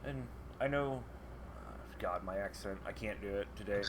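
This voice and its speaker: A man playing in a tabletop game, speaking in quiet, mumbled asides. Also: on the low side, normal speed, background noise. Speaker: player. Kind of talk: casual tabletop banter.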